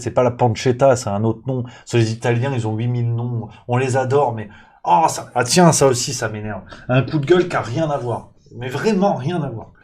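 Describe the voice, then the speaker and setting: Male show host, mid-pitched, comic rant delivery, mock-angry and animated. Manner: parodies waiters with exaggerated Italian accent.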